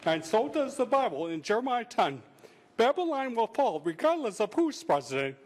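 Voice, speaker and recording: A man with a speech impediment giving a prepared public statement, halting and sometimes stumbling over his words. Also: very high-pitched, big pitch swings. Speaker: public commenter.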